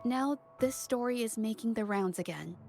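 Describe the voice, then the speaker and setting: A woman's voice: on the high side, normal speed, vocal fry, over music. A woman playing a shy, earnest young artist in a video game, speaking in a hesitant, apologetic way. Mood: earnest, wistful.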